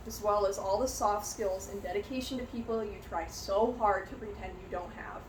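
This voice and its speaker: A young woman playing a heartfelt, grateful young vampire clan heir, giving a measured, earnest prepared speech in character. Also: mid-range voice, lightly acted. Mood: proud, sincere. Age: young adult. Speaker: student giving a class speech. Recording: roomy echo, light background noise.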